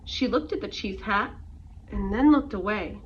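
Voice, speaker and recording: A woman reading a rhyming picture book aloud to young children in an expressive storytelling way. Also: medium pitch, normal speed. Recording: muffled, noisy, distant microphone.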